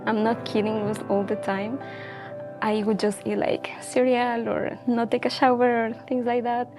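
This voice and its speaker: Young woman, somewhat high voice, flat level delivery, earnest and reflective, faint music behind. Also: moderate pace. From Ecuador. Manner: earnest, reflective, with frequent fillers like "like" and "um". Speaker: guest. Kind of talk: personal testimony in a casual chat.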